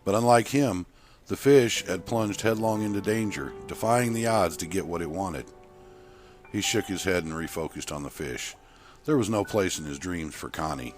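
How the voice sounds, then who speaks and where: A man's voice: sits low, normal speed. A man narrating a scripted short story, with music playing behind him. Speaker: author and narrator.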